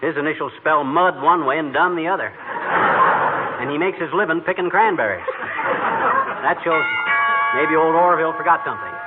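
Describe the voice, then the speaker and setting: A high-voiced, blustery everyman husband played by a man with swooping, animated delivery. He is folksy, boastful and wisecracking, in a 1940s radio comedy with a noisy, tinny recording. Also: brisk, long pauses, natural voice. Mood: jovial.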